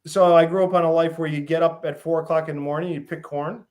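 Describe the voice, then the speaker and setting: A middle-aged man from Western Massachusetts, a guest on an interview show, telling stories in a relaxed way with many pauses and lists. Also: on the high side, slight background noise. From Western Massachusetts, USA. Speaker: guest, fish farm founder. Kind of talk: casual interview.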